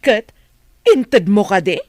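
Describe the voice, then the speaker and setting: A woman's voice: medium pitch, animated, slow pace, dry recording, clean studio sound. An actress playing a scheming, domineering middle-aged mother in an Ilocano radio drama. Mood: sharp, impatient.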